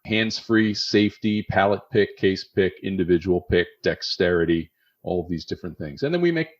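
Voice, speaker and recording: A man's voice: low-pitched, expressive, normal speed, highs cut off. A middle-aged man with a Philadelphia accent, talking through warehouse technology as a podcast guest, often checking in with "okay?". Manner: conversational, often adds "okay?" to check understanding. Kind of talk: explanatory podcast interview.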